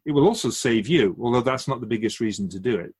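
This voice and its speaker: A man playing a calm, wise otherworldly keeper of souls in a radio drama, speaking formally and instructively to humans. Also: mid-range voice, slightly rough. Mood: earnest, grave. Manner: measured, formal, didactic, rhetorical questions. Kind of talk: radio drama dialogue, philosophical counsel.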